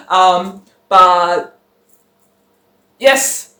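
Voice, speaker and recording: Young woman chatting slow and giggly, lots of ums, roomy echo. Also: on the low side, sharp tone. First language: German. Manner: chatty, giggly, rambling with many fillers. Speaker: book channel host. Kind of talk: casual chat to camera.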